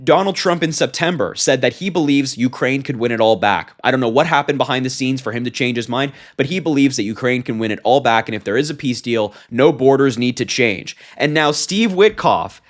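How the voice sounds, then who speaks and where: A man's voice: medium pitch, brisk, few pauses. Indignant male political commentator, emphatic delivery. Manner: indignant, emphatic, exclaiming in disbelief. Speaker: political commentary show host. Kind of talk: reading a transcript aloud with opinionated commentary.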